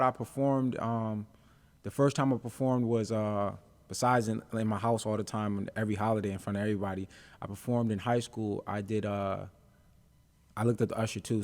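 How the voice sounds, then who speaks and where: Laid-back young man, lowish voice. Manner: laid-back, easygoing, many fillers like um and uh. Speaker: rapper, interview guest. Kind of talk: casual interview chat.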